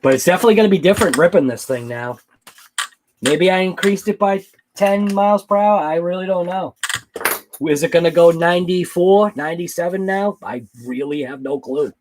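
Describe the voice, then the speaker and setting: Rambling RC hobby host, slightly high voice. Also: male voice, fairly quick, background noise. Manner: rambling, thinks aloud, answers live chat. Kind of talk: casual late-night livestream chat.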